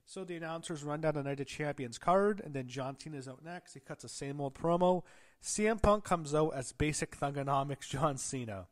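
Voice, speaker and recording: A man's voice: a little high, moderate pace. Under-the-weather man recapping a show. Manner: rambling, frequent self-corrections and fillers; gasping and clearing throat at times. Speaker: wrestling show reviewer.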